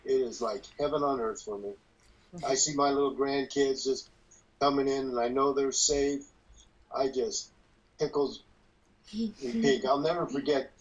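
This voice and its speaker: A man's voice: mid-range voice, unhurried, long pauses, husky. A man in his early seventies talking casually and in a rambling, folksy way as he leads a group call. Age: early 70s. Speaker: church leader leading a group call. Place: dim room at home, on a video call. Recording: dull, lo-fi video call audio.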